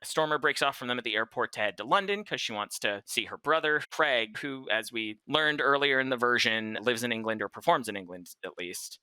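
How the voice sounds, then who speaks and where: A male podcast co-host with a very bright, sharp voice at a medium pitch. He chats casually through a recap, and the recording is dry. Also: a little fast, hardly any pauses, smooth, no echo, rough recording.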